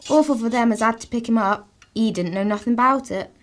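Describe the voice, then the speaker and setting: A woman's voice: on the high side, fast, close to an everyday voice. Woman playing a rustic Gloucestershire housemaid. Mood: wry, matter-of-fact. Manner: rustic, matter-of-fact. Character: plain-spoken, wry village housemaid in 1930s Gloucestershire. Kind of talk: radio play acting.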